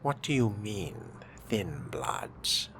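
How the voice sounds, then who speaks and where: A man reading a fledgling vampire's questions in scripted role-play dialogue, in a measured, questioning manner. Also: lowish voice, sing-song, fairly bright tone, slight background noise.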